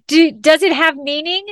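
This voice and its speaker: A woman's voice: high voice, a bit bright, no echo. A woman hosting a podcast who talks in a bubbly way and laughs often. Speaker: podcast host and sommelier. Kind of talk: podcast intro and casual interview.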